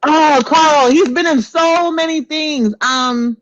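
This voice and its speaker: A woman's voice: high voice, takes it slowly, no room sound, dull audio. A woman co-hosting a pop culture podcast in casual, slangy, exclamatory talk. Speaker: podcast co-host.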